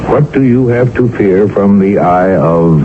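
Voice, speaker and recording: An actor playing a composed, courteous Victorian gentleman doctor who narrates a mystery in a formal, measured radio drama style. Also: lowish voice, animated. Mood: ominous reading. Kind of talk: 1970s radio drama acting. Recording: noisy, muffled old radio broadcast recording.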